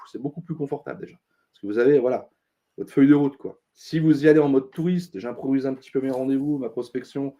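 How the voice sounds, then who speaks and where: A middle-aged man, a consultant being interviewed, talking earnestly with many pauses and restarts. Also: medium pitch, warm tone. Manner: thoughtful, many fillers and restarts, earnest advice-giving. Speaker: interview guest, business consultant. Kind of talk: casual interview talk.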